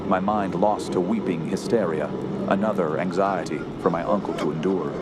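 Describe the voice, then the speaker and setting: A man's voice: sits low, fairly even intonation, normal speed, heavy background noise, natural voice. A man playing a haunted young nephew who narrates a horror radio play in a grave, ornate, ominous manner. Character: dread-stricken young nephew recounting a horror. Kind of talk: scripted first-person horror narration. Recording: loud music bed under voice.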